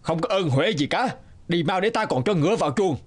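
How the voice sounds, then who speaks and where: A man's voice: sits high, expressive, a little fast, roomy sound, long reverb tail. A man playing a haughty, mean, middle-aged rich villager in a cartoon, with a scornful, scolding delivery. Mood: scornful, angry. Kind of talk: cartoon dubbing.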